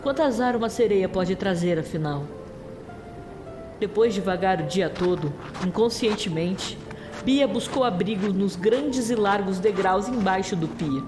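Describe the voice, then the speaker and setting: A woman's voice: medium pitch, expressive, a little slow. Woman narrating a tale over faint music. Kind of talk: scripted audio drama storytelling.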